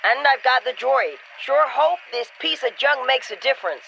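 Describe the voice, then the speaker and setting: An actor playing a gritty, determined resistance squad leader in a video game, briefing in an informal, clipped, urgent manner. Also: male voice, very high voice, animated, moderate pace, creaky, dull audio, heavily acted. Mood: urgent, wry. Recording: noisy battle ambience behind.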